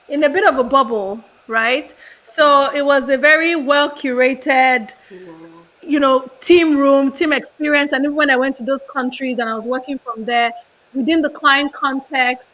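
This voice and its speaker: Brief: Nigerian woman in her thirties, podcast guest, high bright voice, lively and laughing. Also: low-quality recording. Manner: animated, laughs often, restarts phrases. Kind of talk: casual interview storytelling.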